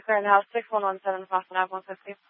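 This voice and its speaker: A man's voice: sits high, flat delivery, rapid-fire, no echo. A man working as a police dispatcher, relaying terse, clipped, procedural messages over the radio. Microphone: radio dispatch microphone. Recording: tinny, phone-like police scanner audio.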